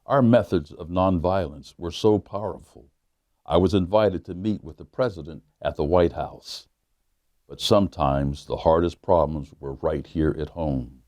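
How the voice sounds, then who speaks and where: Man, pastor, very low voice, mellow tone, measured read-aloud storytelling. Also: no echo. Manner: measured storytelling, slowing down on key lines. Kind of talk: reading a children's book aloud.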